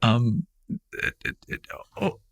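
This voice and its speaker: A man hosting a podcast, talking in an earnest, rambling way with many halting restarts. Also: lowish voice, huge pitch swings, creaky, dry recording, studio quality. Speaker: podcast host, founder of the center. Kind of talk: warm conversational podcast interview.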